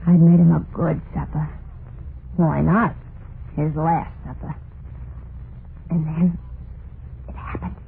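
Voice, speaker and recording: Bitter murderess on tinny old radio. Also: female voice, deep voice, level tone, slow, vocal fry, pauses often, heavily acted. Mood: grim confiding. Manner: terse, snappish, grimly dramatic. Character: hard, bitter murderous woman confessing her crime. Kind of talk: old-time radio horror acting, first-person confession. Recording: tinny, noisy vintage radio recording.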